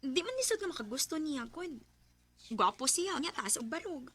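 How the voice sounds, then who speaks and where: High-voiced, earnest young Cebuano woman. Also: big pitch swings, moderate pace, fairly bright tone. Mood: hesitant. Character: Wendy, frank, guarded young woman falling in love. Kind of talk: Bisaya radio drama acting and narration.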